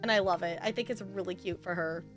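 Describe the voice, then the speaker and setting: A woman's voice: lowish voice, quick pace, soft background music. A woman streaming a life simulation game with casual, rambling commentary, chatting to viewers and to the game's characters.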